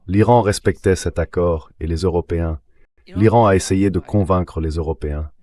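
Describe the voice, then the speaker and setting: A man reading a translated voice-over of political analysis in a measured, explanatory way. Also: deep voice, dark tone, no echo, close to an everyday voice.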